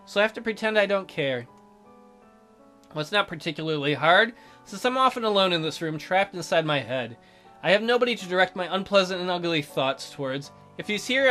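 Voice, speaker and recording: A man hosting a let's play, reading visual novel narration aloud with casual asides. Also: high-pitched. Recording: soft music behind the voice.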